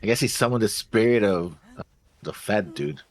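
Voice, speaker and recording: A man's voice: lowish voice, normal speed. A man thinking aloud in casual, musing commentary as he watches a show. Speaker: reaction show host.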